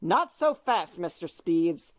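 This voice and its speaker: A woman playing a firm, encouraging defense partner in a courtroom audio drama, steady and urging. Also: lowish voice, slow, heavy background noise. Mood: firm encouragement.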